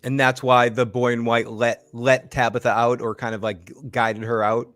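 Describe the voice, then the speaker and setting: A man's voice: mid-range voice, level tone, moderate pace, fairly bright tone, dry recording, studio quality. A man with a Boston accent co-hosting a casual podcast, easygoing and agreeable, often restarting his sentences. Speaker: co-host.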